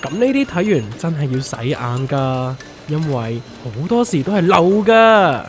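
A man's voice: a little high, very animated, a little slow, a little hiss or hum. A man with a Hong Kong Cantonese accent playing a wry, well-informed, teasing friend in a comedic radio drama. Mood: wry explaining. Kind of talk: scripted comedic dialogue. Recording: music under the voice.

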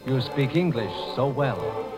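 An actor playing a kindly American doctor in a 1930s radio drama, speaking in a gentle, reassuring way. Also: male voice, medium pitch, big pitch swings, unhurried, loud music behind the voice, low-quality recording.

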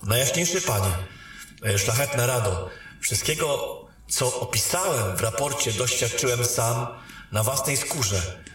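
Heard at a distance in a very echoey hall with faint music, an actor plays a young male captain in a fantasy radio drama. His voice is medium-pitched, earnest and soldierly, with a defensive edge. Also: lively intonation, a little slow, a bit bright. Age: young adult. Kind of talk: fantasy audio drama acting.

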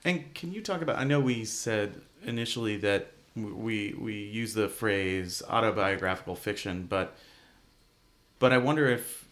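A man's voice: lowish voice, moderate pace, fairly bright tone. A man hosting an arts interview in a conversational style, thinking through his questions aloud. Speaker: host interviewer.

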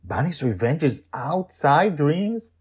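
A man voicing a shaken, earnest young boy in an audio story, with a halting, questioning manner. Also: on the high side, big pitch swings, husky, pitched above the performer's own voice, full character voice. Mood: bewildered. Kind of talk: serialized audio story dialogue.

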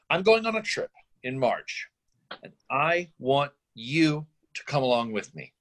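A man acting a middle-aged, free-spirited father in a staged screenplay reading, earnest, effusive and persuasive, with halting phrases. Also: medium pitch, very animated, a bit bright. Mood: tentative, earnest.

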